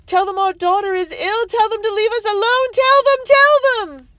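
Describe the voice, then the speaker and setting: A narrator voicing a frightened colonial housewife who pleads with her husband, her voice climbing to a shrill pitch. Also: unhurried, no room sound. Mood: panicked. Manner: pleading, rising to shrill cries.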